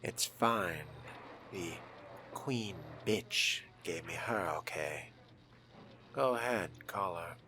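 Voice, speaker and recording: Noise sits clearly behind a man roleplaying a brooding vampire fledgling. His deep, slow voice muses with cool calculation in formal, measured phrases, as in a video game roleplay. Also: animated, bright, forceful tone, exaggerated voice. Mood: cool, curt. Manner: measured, musing to himself, formal wording.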